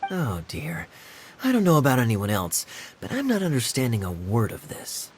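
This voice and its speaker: Suave, sly young knight. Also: male voice, mid-range voice, wildly swooping pitch, normal speed, a little hiss or hum. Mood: mildly puzzled. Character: suave, teasing young male knight, laid-back and sly. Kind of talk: video game cutscene voice acting. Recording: music behind the voice.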